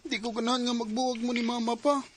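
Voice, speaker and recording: Guy doing a little boy in a radio-play style story, high voice, plaintive, keeps asking why. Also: flat delivery, fast, slight background noise. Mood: pleading. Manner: plaintive, questioning. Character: young boy, a worried son missing his mother. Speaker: son. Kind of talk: Cebuano audio drama acting.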